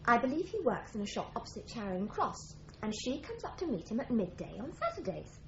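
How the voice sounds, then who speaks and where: A woman's voice: on the high side, wildly swooping pitch, brisk, a little hiss or hum. A woman playing a naive, fussy young British secretary in a radio crime drama, earnest and plaintive. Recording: roomy, muffled radio drama recording.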